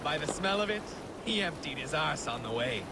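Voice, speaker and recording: An actor playing a boastful, earthy Viking crewman spinning teasing yarns with dramatic pauses in a video game. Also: on the high side, lively intonation, vocal fry. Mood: amused storytelling. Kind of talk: leisurely storytelling in a video game. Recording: noisy boat and water ambience.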